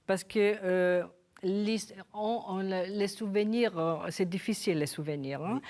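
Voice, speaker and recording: An Italian woman with a Roman accent, a guest author on a television set. She speaks French haltingly, restarting phrases as she hunts for the right word, in an even middle-pitched voice. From Italy. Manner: hesitant, searching for words, halting restarts. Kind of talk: television interview with a read passage. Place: television studio.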